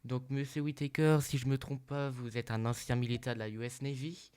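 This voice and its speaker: A man's voice: medium pitch, little pitch movement, a little fast, darkish tone, clean tone, lightly acted. A man playing a news interviewer who welcomes his guest formally and asks questions with frequent hesitations. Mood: polite. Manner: polite, formal, many hesitation sounds. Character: male news agency interviewer, polite and formal. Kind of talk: roleplay interview.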